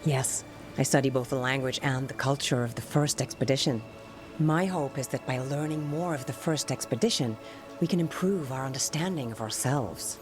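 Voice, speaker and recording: An actor playing a Qunari scholar and translator, grave and formal. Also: female voice, a bass voice, normal speed. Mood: solemn. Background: music.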